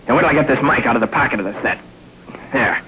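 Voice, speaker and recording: A clean-cut teenage boy hero, played by a man from a vintage radio serial. He talks fast and confidently with lively swings in pitch, on a tinny old recording. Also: slight background noise, close to an everyday voice. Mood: keen, alert. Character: bold, keen all-American teenage boy hero. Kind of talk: old-time radio adventure serial acting.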